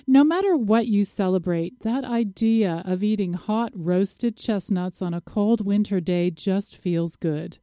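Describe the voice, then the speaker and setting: A woman's voice: medium pitch, dark tone, no room sound, clean studio sound. A woman hosting a radio show, introducing topics and interviewing a guest.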